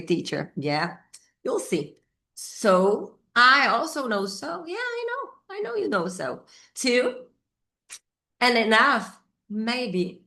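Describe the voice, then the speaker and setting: A middle-aged Brazilian woman, a native Portuguese speaker, teaches English grammar informally with a Brazilian accent, thinking aloud haltingly and repeating key words. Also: medium pitch, sharp tone. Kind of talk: informal whiteboard grammar lesson.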